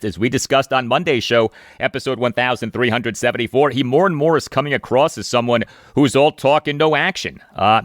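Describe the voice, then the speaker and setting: A man hosting a sports podcast solo, giving commentary and reading out messages and quotes in emphatic, deliberate phrasing. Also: lowish voice, fairly bright tone, dry recording, studio quality.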